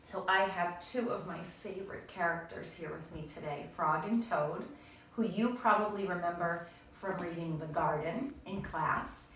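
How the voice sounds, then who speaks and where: A woman's voice: lowish voice, measured pace, a bit bright. A woman teacher giving a read-aloud reading lesson to young children, explaining things and addressing them directly. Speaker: primary school teacher. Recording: echoey room, distant mic, faint noise.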